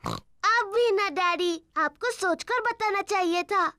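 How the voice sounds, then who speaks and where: A small girl piglet for a children's cartoon. The voice is extremely high and childlike, with slow delivery. She is playful, giggly and bossy. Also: sharp tone, dry recording, clean studio sound, exaggerated voice. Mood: bossy, proud.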